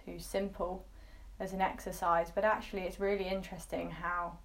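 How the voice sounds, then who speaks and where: A woman leading a guided meditation, giving soft instructions in gently coaxing phrases with pauses. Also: lowish voice, level tone, gravelly.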